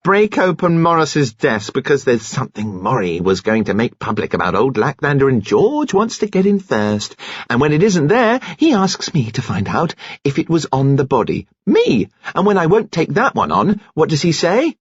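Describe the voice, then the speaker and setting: A man's voice: mid-range voice, expressive, normal speed, husky, no echo, highs cut off, pitched above the performer's own voice. A man voicing a brazen, embittered, slangy woman in a rage, with scornful, emphatic delivery, as an audiobook character. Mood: scornful, indignant.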